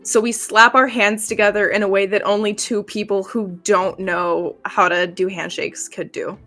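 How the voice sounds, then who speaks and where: A woman playing a tabletop roleplaying game, improvising her character's actions in a playful, halting way. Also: mid-range voice, level tone, pushed and bright, soft background music.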